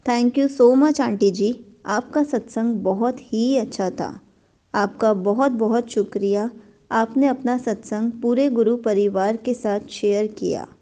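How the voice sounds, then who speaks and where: A female host speaks slowly and gently, thanking her guest. Her voice is slightly high with a mellow warmth. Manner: gentle and appreciative. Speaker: channel host.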